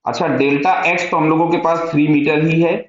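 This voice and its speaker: A man's voice: fairly high pitch, steady tone, fairly quick. A man with an Indian accent teaching physics step by step, asking short check questions and answering them himself. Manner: mixes Hindi with English technical terms. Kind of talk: step-by-step lesson, working through a problem aloud. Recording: very echoey room, dull muffled sound.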